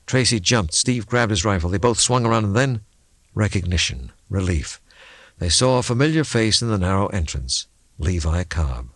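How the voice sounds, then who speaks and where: A man reading a suspense novel as an audiobook narrator, in a measured, dramatic way. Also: fairly low pitch, expressive, a bit bright, dry recording.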